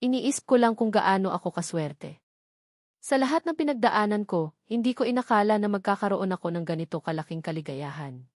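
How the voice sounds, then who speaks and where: A woman's voice: mid-range voice, expressive, a little fast, darkish tone, dry recording, clean studio sound, natural voice. Woman reading as grateful young Filipino mother. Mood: happy, reflective.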